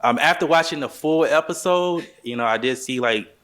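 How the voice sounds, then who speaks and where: A soft-spoken man speaking on a dating show reunion panel, hesitant with many fillers. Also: on the high side, moderate pace.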